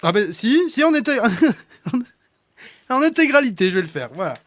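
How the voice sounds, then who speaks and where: A man's voice: very high-pitched, sing-song, unhurried, fairly bright tone, no room sound, heavily acted. A man playing a pushy, easily offended regional radio journalist on a prank call, hesitant and rambling but insistent. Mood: pushy, needling. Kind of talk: prank phone call in conversation.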